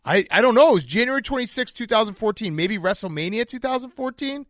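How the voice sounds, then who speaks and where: A man hosting a casual podcast interview, putting questions in an informal, slightly halting way. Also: high voice, animated, a bit bright, no room sound, clean studio sound.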